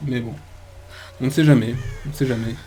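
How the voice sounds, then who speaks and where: Mellow-voiced man doing casual game commentary. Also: mid-range voice, fairly even intonation, fairly quick, a little hiss or hum, low-quality recording. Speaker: gaming video host. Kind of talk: casual let's play commentary.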